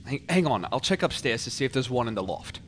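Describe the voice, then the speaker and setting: A man's voice: medium pitch, big pitch swings, fast talker, slight background noise, natural voice. A man playing a helpful, easygoing police officer in a radio play, casual and obliging.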